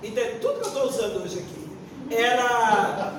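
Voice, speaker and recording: A Brazilian woman of sixty-one answering on a forum panel like a pastor, deliberate and emphatic, searching for words as she goes. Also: fairly high pitch, lively intonation. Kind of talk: unscripted panel answer with preaching tone. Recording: echoey room, distant mic, faint noise.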